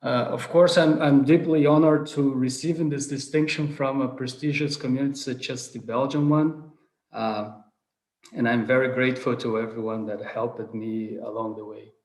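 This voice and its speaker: A man's voice: medium pitch, steady tone, moderate pace, darkish tone. A man in his thirties from southern Brazil, a non-native speaker giving an earnest online award lecture, full of hesitations and restarts. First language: Portuguese. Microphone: video call webcam. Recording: roomy video call audio.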